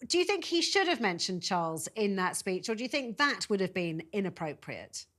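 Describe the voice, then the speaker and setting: A woman's voice: medium pitch, very animated, a little fast, a bit bright. A British woman presenting a live news interview, putting long, conversational questions to guests as she hosts.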